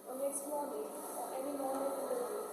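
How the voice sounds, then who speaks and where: A woman acts out an old island mother, grieving and pleading in a high voice. It is stage drama, caught from far off in a very echoey hall with clear background noise. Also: steady tone, brisk, natural voice. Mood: mournful. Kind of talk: stage play acting. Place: stage in a hall, distant microphone.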